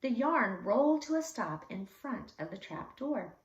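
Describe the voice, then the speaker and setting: A woman reading a children's story aloud expressively, with deliberate, dramatic emphasis for young listeners. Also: fairly high pitch, big pitch swings, highs cut off. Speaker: read-aloud storyteller for schoolchildren. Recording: echoey room, slightly distant mic.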